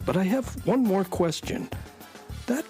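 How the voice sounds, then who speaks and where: A man's voice: sits high, lively intonation, a little slow, dark tone, faint music behind. A man playing an earnest, stuffy collector in a children's radio drama, speaking in a formal, wordy, pedantic way. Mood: earnest, appreciative. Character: Briscoe, earnest stuffy male collector, fond of dull things.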